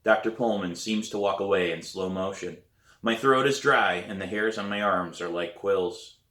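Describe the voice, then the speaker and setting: A man reading a children's novel aloud in an expressive storybook narration style. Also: low-pitched, moderate pace. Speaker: author reading own novel. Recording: roomy echo.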